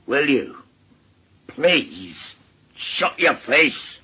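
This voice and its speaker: An actor playing a gruff, sour, sweary husband and father in a British radio drama, blunt and curt, with a Northern English accent. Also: mid-range voice, big pitch swings, drawn-out words, sharp tone, heavily acted. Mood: angry.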